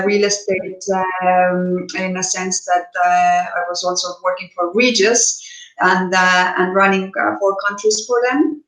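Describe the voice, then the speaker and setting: A woman's voice: mid-range voice, fairly bright tone, echoey room, rough recording. A woman from Estonia, a business coach, giving hesitant conversational interview answers with many restarts.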